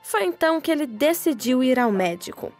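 High-voiced female reporter narrating. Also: lively intonation, brisk, soft background music. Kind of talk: scripted voice-over narration.

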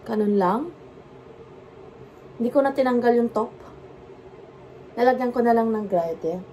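A woman's voice: on the high side, slight background noise, rough recording. A woman with a Filipino accent narrating casually as she bakes a cake in her home kitchen, mixing English and Tagalog. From Philippines. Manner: slow, mixes English and Tagalog, relaxed step-by-step commentary. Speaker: home baking vlogger.

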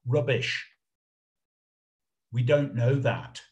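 Male lecturer, middle-aged, talking slowly and haltingly through an informal history presentation on a video call. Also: mid-range voice, lively intonation, muffled recording. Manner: halting, hesitant, explanatory. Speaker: lecturer, researcher presenting a talk.

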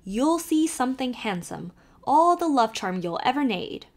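Storybook part: a woman playing a jolly old Irish peddler man, sly brogue, lively swooping delivery. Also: high-pitched, a little slow, voice pushed up, heavily acted. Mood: teasing. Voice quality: thick brogue, sly, teasing.

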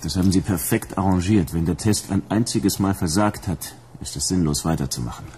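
An actor playing a dry, stubborn bounty hunter in a radio drama. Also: male voice, low-pitched, fairly quick, dark tone, a little hiss or hum, natural voice. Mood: dry, resigned.

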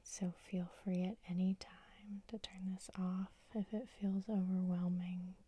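A woman whispering softly as the host of an ASMR sleep hypnosis session, guiding the listener in a slow, soothing way. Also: fairly low pitch, flat delivery, breathy edge, no echo. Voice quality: soft whisper.

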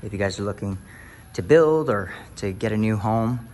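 Hyped man with a lowish voice. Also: lively intonation, moderate pace, darkish tone, a little hiss or hum. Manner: upbeat, hyped, talks to viewers as y'all. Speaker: realtor touring a house. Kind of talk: casual house-tour vlog turning to preaching. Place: empty new house, then its covered back patio.

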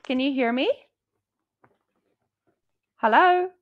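A woman leads a relaxed online lesson and speaks slowly and encouragingly. Her voice sits fairly high and moves a lot. Her voice comes through a headset over a muffled video-call line. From South Africa. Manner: patient, encouraging, asks simple questions. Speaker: online English tutor. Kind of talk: casual video-call conversation practice. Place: indoor room.

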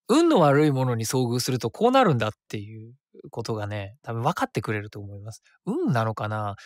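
A man's voice: medium pitch, a bit bright, dry recording, clean studio sound. A man hosting his own web radio show, chatting and reading listener comments in a rambling way with many fillers.

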